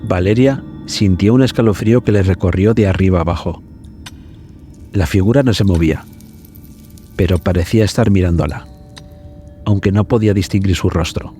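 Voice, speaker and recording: A man narrating a scripted suspense horror story for a podcast in a dramatic, measured way. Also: low voice, dark tone. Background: background music.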